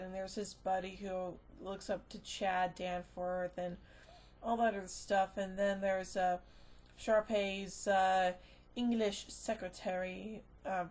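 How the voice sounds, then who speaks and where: Rambling, halting woman reviewing casually. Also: medium pitch, a little mellow. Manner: rambling and halting, trailing off into filler phrases. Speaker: movie reviewer. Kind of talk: casual unscripted video review.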